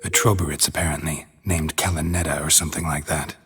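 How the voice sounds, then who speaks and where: Gruff monster hunter, deep gravelly voice, laconic, deadpan, weary, fantasy video game. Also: male voice, fast talker, exaggerated voice. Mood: dry, matter-of-fact. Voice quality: gravelly, husky. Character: gruff, dry, world-weary monster hunter in his prime.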